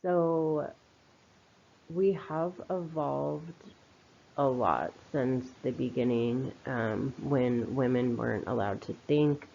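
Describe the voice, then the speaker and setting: A woman's voice: very deep voice, slow pace, gravelly, warm tone, a little hiss or hum. A woman giving an informal spoken commentary in a reflective, opinionated, conversational manner. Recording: slightly dull, lo-fi voice recording.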